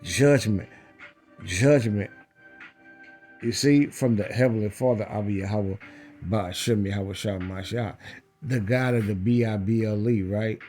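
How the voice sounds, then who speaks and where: A man's voice: on the low side, fairly even intonation, measured pace, long pauses, a little mellow, faint music behind. A man preaching in a scripture read-along, emphatic, repeating phrases and checking in with rhetorical questions.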